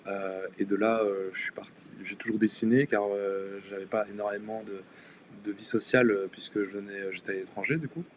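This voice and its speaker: A man's voice: on the low side, steady tone, brisk, a little hiss or hum. A young man from Denmark, not a native French speaker, chatting as a guest on a live stream and talking hesitantly, with many fillers and restarts. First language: Danish. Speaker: guest illustrator and tattoo artist. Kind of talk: casual live-stream chat.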